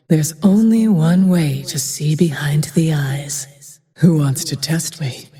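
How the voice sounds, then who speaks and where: An actress playing a cold, bitter, vengeful female archer in a video game, breathy and husky, with a grim, disdainful, deliberate delivery. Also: sits low, mellow. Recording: long cavernous reverb.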